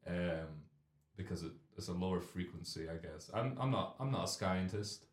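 Young man, host, deep phlegmy voice, jokey rambling, echoey room. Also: animated, normal speed. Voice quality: deep, carrying voice. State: phlegmy, clearing throat. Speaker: radio show host. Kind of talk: comic casual chat.

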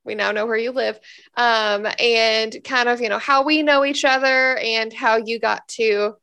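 A young woman hosts her podcast in easy, friendly chat, full of "um"s and little laughs. Her voice sits a bit high and has a gravelly, hoarse edge. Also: fairly even intonation, a little slow, pushed and bright. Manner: chatty, upbeat, lots of fillers and laughs. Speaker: podcast host. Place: hotel room, sitting on a bed.

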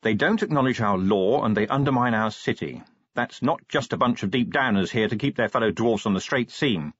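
A man voicing a gruff, weary police commander in a storybook, blunt, suspicious and demanding, with a growl. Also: fairly low pitch, expressive, brisk, a bit bright, no echo, lo-fi sound. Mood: brooding, urgent.

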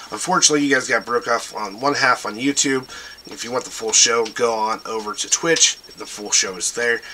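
A man's voice: fairly low pitch, sharp tone, a little hiss or hum, rough recording. A man hosting a casual livestream show, rambling as he urges listeners to check out pages and artists. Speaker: show host. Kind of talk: casual livestream shout-outs.

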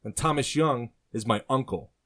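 A man voicing a calm, firm young man who speaks plainly and truthfully. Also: medium pitch, normal speed, voice pushed up, natural voice. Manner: firm, unfazed.

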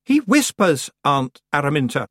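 A man voicing a calm, defiant boy with a measured, stubborn way of talking. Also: on the high side, dry recording, studio quality, voice pushed up. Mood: calm defiance.

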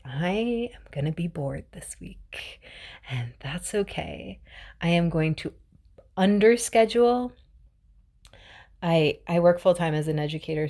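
A young woman speaks slowly in a lowish voice. She hosts a mindfulness show in a chatty, reflective way, often restarting her sentences and sometimes softening to a whisper. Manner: chatty with frequent restarts, drops to soft whispers. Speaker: mindfulness podcast host. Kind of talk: casual reflective monologue.

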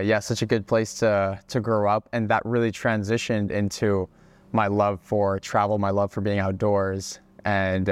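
A young man raised in Hawaii, a guest on a casual podcast interview, talking in a relaxed way with plenty of fillers and restarts. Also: lowish voice, smooth. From Czech Republic, raised in Hawaii. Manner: relaxed, many fillers and restarts, laughs often. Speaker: guest, travel creator.

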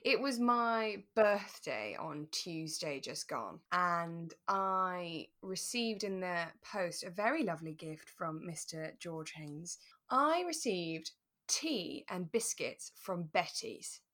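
A woman's voice: fairly low pitch, big pitch swings, slow, a bit bright. Effusive woman chatting casually as co-host. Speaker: podcast co-host. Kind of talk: relaxed casual chat about a radio drama.

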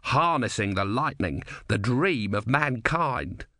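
A man's voice: mid-range voice, animated, takes it slowly, bright, forceful tone, dry recording, studio quality, heavily acted. A man voicing an earnest male inventor, carried away with lofty enthusiasm, in an audiobook. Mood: enthralled.

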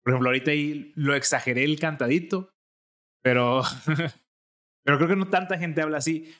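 A man's voice: a little high, moderate pace, a bit bright. A young adult man with a Jalisco accent, chatting casually as podcast co-host and thinking aloud with many fillers. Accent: Mexican, from Jalisco. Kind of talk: casual chat.

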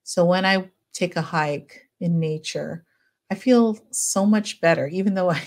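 Gentle, chatty woman psychologist. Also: lowish voice, unhurried. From United States. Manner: gentle, chatty, many fillers, laughs often. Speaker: psychologist guest. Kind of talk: casual podcast interview.

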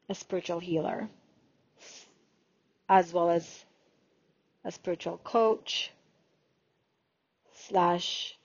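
A woman in her forties, born in Jamaica, speaks with a slight Jamaican accent and an unhurried podcast delivery. She takes her time, drawing out words as she lists things, at a very slow pace. The recording sounds dull and lo-fi. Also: mid-range voice, dry recording. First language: Jamaican Patois. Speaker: podcast host and spiritual healer. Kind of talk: unscripted podcast monologue.

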